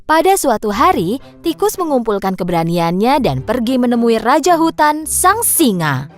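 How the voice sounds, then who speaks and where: A woman reads a children's story as its narrator. Her voice is high-pitched and moves up and down with lots of expression. Music runs underneath the narration. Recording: music behind the voice.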